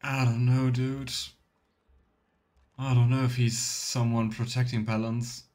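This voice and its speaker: A man's voice: mid-range voice, flat delivery, slow pace, a little mellow. A man streaming a video game with casual, musing commentary, thinking aloud as he plays. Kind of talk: casual let's play commentary.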